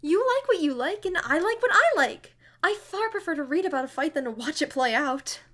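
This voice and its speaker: A tactful, bookish young woman, acted by a woman for an audio drama. The pitch is high and lively. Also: a little fast. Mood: firm, gentle.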